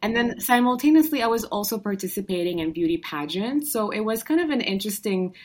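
A woman's voice: mid-range voice, animated, quick pace, a little mellow. A young adult woman from Morocco, a guest telling her story in an upbeat, chatty way with frequent fillers and restarts. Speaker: guest entrepreneur. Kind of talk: casual conversational storytelling.

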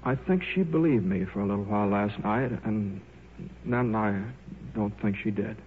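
An actor playing a meek but stubborn, socially awkward scientist, halting and polite, in 1950s radio drama style. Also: male voice, on the low side, lightly acted. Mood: awkward, earnest. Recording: tinny old radio with faint noise.

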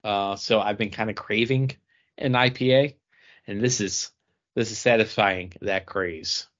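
A man's voice: fairly low pitch, unhurried, a bit bright. A man talking casually as a podcast co-host. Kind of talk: casual chat. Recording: dull lo-fi podcast audio.

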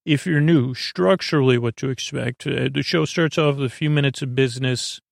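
Creaky-voiced man rambling on a sleep podcast. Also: mid-range voice, no echo, clean studio sound. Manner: meandering, halting, full of digressions and false starts. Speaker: podcast host.

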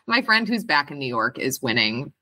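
A woman's voice: a bass voice, sing-song, measured pace, pushed and bright, no room sound, low-quality recording. A woman co-hosting a podcast, chatting casually in an informal, earnest way. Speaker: podcast host. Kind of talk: casual chat.

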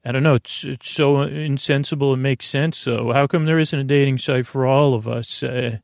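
A male podcast host with a gravelly, creaky voice in a mid-range pitch. He improvises a wandering monologue, halting and restarting as he drifts off on tangents. The sound is very dry, with no room echo. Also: fairly even intonation. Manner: meandering, halting, self-interrupting.

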